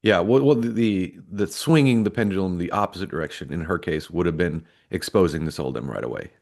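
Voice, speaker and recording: Very deep-voiced man chatting casually. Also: brisk, a little mellow. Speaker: podcast co-host. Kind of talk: casual chat.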